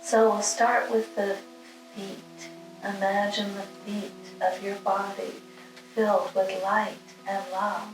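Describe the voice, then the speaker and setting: A woman leading a guided meditation, giving soothing, gentle instructions in short, spaced phrases. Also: medium pitch, little pitch movement, slow. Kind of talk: guided meditation, spoken slowly with long pauses. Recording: roomy, distant mic, music underneath.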